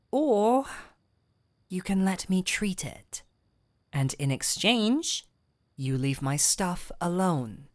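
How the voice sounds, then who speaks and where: A woman playing a gentle but firm fantasy physician, calm and wry, in an intimate audio roleplay with measured, coaxing delivery. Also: fairly low pitch, dry recording, studio quality. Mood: calm bargaining. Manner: measured, coaxing, wryly stern. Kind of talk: intimate scripted audio roleplay addressed to the listener.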